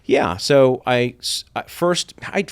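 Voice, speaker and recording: A man's voice: medium pitch, expressive, takes it slowly, clear, resonant tone. A middle-aged man from Iran, a podcast guest, telling his story in a thoughtful, reflective way and acting out quoted voices. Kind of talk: reflective interview talk.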